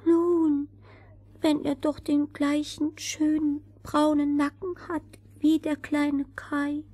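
A gentle female crow in a fairy-tale play. The voice is high and mellow, recorded dry and close. The bird speaks slowly and with care. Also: level tone, no echo. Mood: explaining. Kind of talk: fairy-tale audio drama acting.

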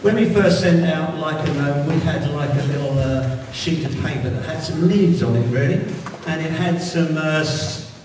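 A middle-aged man leading a church meeting, talking in a relaxed, rambling, encouraging way into a handheld mic. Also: on the high side, normal speed, few pauses. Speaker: church leader. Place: large church hall indoors. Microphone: handheld mic, filmed from far back. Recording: echoey hall audio from far off, faint hiss.

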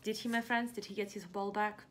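A woman's voice: mid-range voice, flat delivery, fairly quick, a little hiss or hum. A young woman doing a picture-book read-aloud for small children, expressively explaining and asking questions. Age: young adult. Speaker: preschool teacher reading aloud. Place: living room indoors.

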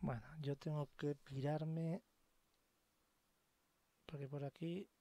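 A man's voice: fairly high pitch, steady tone, slow pace, noisy background. A man with a Spain accent giving casual gameplay commentary, muttering and swearing to himself. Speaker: gamer narrating gameplay. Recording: voice mixed with game music. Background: game soundtrack and effects.

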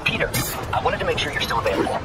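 A man's voice: on the high side, expressive, racing, heavy background noise, natural voice. An actor playing a proud, volatile older male scientist mentor in a video game, dramatic and emphatic in his delivery. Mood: urgent, hopeful. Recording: loud music behind.

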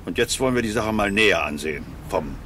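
A self-important police sergeant in a radio play, curt and officious. The voice sits fairly low, with clear waterside noise behind it. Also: male voice, lively intonation, fast talker, a bit bright. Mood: smug, officious. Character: pompous, self-assured male police detective sergeant. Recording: noisy waterside sound effects behind.

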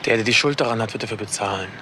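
An actor playing an embittered, accusing young son in a German crime radio drama. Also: male voice, fairly low pitch, rapid-fire, heavy background noise, lightly acted. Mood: menacing.

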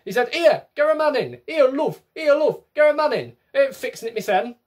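A man's voice: very high-pitched, very animated, moderate pace, pitched above the performer's own voice. A man voicing a blunt, bossy old Yorkshire god with a put-on Yorkshire accent, in a small room. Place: small bedroom with bookshelves.

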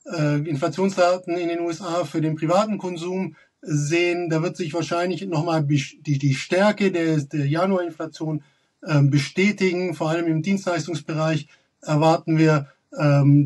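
A man in his thirties giving analytical market commentary as a guest expert, pausing often with fillers and restarts. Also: on the high side, fairly even intonation, darkish tone, far from the mic. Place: office with glass wall.

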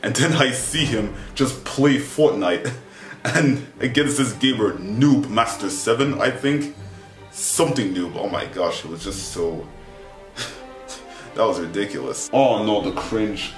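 A young man talking casually to camera in an animated, rambling, exclamatory way, as a vlogger giving a review. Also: on the low side, slow. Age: young adult. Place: small indoor bedroom with bunk beds. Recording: echoey room, music behind. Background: music.

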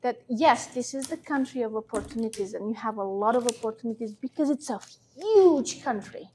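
A woman with a Hungarian accent and a distinctly high voice talks in an interview. She is middle-aged and emphatic, stressing her opinions by repeating them. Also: lively intonation, a little fast, a little mellow, slight background noise. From Hungary, born in Romania. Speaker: interview guest, small business owner. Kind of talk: conversational interview answers. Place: classroom, indoors. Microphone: lapel mic.

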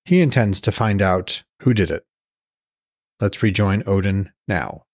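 A man's voice: low-pitched, animated, measured pace, a little mellow, dry recording. A man reading scripted narration as author and podcast host.

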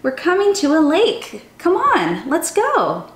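A young woman, a children's storytime teacher, reading a picture book aloud in an animated, sing-song way, speaking straight to young listeners. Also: extremely high pitch, slow pace. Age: young adult. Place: small room indoors. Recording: roomy indoor echo.

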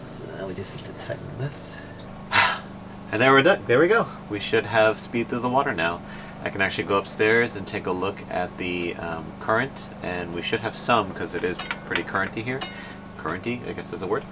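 A man's voice: deep voice, fairly quick, noisy background. Chatty man explaining on a sailboat. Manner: explaining step by step, chatty with fillers. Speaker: sailing vlog host. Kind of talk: casual vlog narration. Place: aboard a sailboat, cabin and deck.

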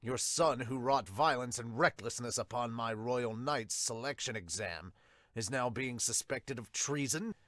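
A man's voice: medium pitch, unhurried, fairly bright tone, dry recording, studio quality, voice pushed down, natural voice. A man voicing a haughty, irritable king in a manga dub, pompous and demanding. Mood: stern.

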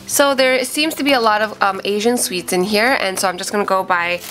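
Nasal-voiced young woman unboxing, bright tone. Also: mid-range voice, brisk, soft background music, low-quality recording. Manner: chatty, thinking aloud with fillers. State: sick and nasal. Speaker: unboxing vlogger. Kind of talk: casual unboxing review. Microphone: off-camera voice over hands-only tabletop shots.

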